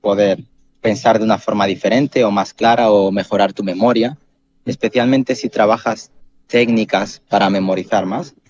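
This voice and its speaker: A man hosting a podcast, calmly explaining ideas step by step with examples. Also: on the low side, normal speed. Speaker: podcast host. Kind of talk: explanatory casual chat. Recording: dull, muffled sound.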